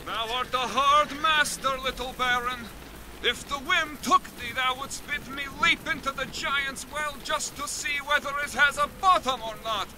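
A man's voice: extremely high pitch, a little slow. A man playing a wagon driver in an audio drama, speaking in old-fashioned thee-and-thou talk. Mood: grumbling. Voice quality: old-fashioned speech, pleading then mocking. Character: Russian wagon driver Ivan, stubborn working man. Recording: heavy rain noise behind.

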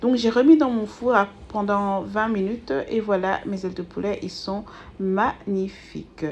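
A woman's voice: medium pitch, steady tone, normal speed, darkish tone, slightly rough. A woman, hosting a home cooking video as she talks viewers through a recipe in a chatty, hesitant way with many fillers. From Belgium. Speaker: cooking channel host. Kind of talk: casual cooking voice-over.

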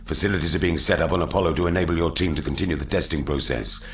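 An actor playing a stern, composed military commander with clipped authority in a game cutscene. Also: male voice, rumbling low, steady tone, racing, a little hiss or hum. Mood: firm briefing. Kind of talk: video game cutscene acting. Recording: roomy echo, music behind.

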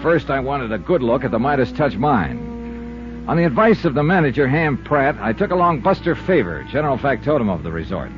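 An actor playing a wry, brisk American insurance investigator who narrates his own case in a 1950s radio drama. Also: medium pitch, very animated, close to an everyday voice. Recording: muffled 1950s radio recording with music.

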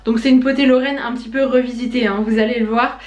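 Higher-voiced woman vlogging casually. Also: fairly even intonation, fast talker. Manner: chatty, conversational. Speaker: lifestyle and cooking vlogger. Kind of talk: casual vlog narration, talking viewers through food. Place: home kitchen, indoors.

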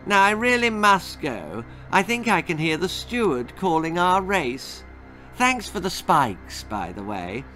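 A sly schoolboy cheat purrs fake charm and mocking lines, as a man reads him. His pitch rises and dips with lively swings, and faint music plays underneath. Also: measured pace, exaggerated voice, low-quality recording. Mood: smug. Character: sly scheming schoolboy rival, smug and spiteful.

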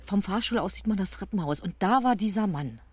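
A woman's voice: mid-range voice, lively intonation, fairly quick, darkish tone, slightly rough. An actress playing a blunt, talkative, colloquial woman witness in a radio crime drama. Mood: confiding, shaken. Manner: colloquial, slightly crude.

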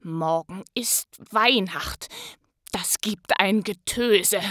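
A woman's voice: medium pitch, very slow, sharp tone, no room sound, studio quality. A woman voicing a grumpy, spiteful male storybook creature, growling and grumbling with hatred. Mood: angry grumbling. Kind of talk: dramatic storybook reading.

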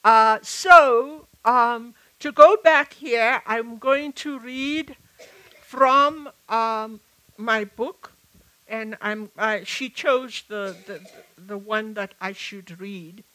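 An older South African woman talks on stage through a headset mic, taking her time and circling back to restart her thoughts. Her voice sits a little high and sounds bright and clear, and she moves along slowly. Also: rough recording. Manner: reflective, halting with many restarts. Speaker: author and activist. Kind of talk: public conversation on stage. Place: stage at a live event.

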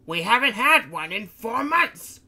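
A man's voice: very high voice, sing-song, measured pace, sharp tone, breathy edge, voice pushed up, exaggerated voice. A man voicing Takashi, a grumpy sleepy man who protests being dragged along. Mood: protesting. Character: grumpy sleepy male townsman.

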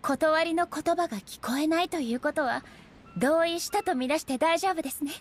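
An actress voicing a gentle, polite, earnest female warrior deity in a Japanese video game, softly spoken and sometimes breathy. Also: sits high, normal speed, natural voice. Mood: gentle, candid. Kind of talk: Japanese game character voice acting.

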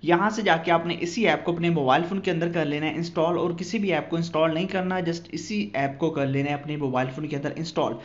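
High-voiced man presenting, muffled sound. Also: steady tone, fast, hardly any pauses. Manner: instructional, step by step. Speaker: tutorial channel host. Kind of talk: phone app tutorial voice-over. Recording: dull, muffled lo-fi voice-over.

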